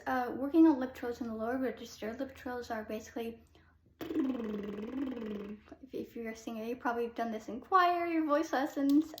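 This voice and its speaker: A young woman who sings soprano, teaching a casual singing lesson. She explains with short sung demos and fills pauses with "um.". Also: on the high side, reverberant. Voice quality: soprano speaking voice.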